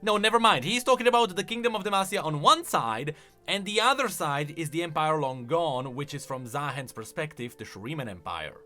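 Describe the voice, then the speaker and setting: A man's voice: a little high, animated, fairly quick, bright, forceful tone, soft background music. A man hosting a video, giving casual, enthusiastic commentary on game lore and explaining as he goes. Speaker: video host.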